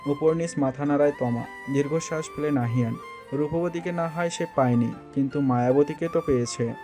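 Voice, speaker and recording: A man's voice: a little high, fairly quick, over music. A man reading scripted narration for a romantic audio story.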